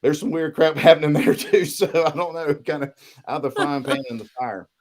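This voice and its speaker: A man's voice: on the high side, fairly quick, darkish tone. A man chatting casually and telling spooky personal stories in a folksy, slangy way, as a guest on a podcast. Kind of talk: casual storytelling chat.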